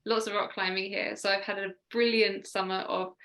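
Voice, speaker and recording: An English woman, a mindset coach, chatting as a guest on a video call, her voice husky from a cough. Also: mid-range voice, little pitch movement, moderate pace, a bit bright. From England. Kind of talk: casual interview chat.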